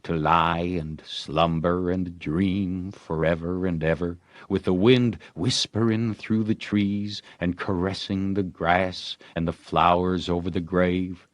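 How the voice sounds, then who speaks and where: A man's voice: deep voice, slow pace, no room sound. A man voicing a melodramatic, self-pitying daydreaming boy in a storybook, with theatrical yearning. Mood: wistful, melancholy. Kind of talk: acted storybook dialogue.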